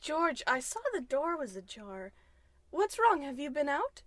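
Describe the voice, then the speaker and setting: Actress as anxious radio-play wife, very high voice, swooping intonation, emotive, pleading, exasperated. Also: female voice, sing-song, unhurried, a bit bright, no echo, exaggerated voice. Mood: concerned, puzzled. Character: worried, exasperated wife of an unraveling husband. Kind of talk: old-time radio drama acting.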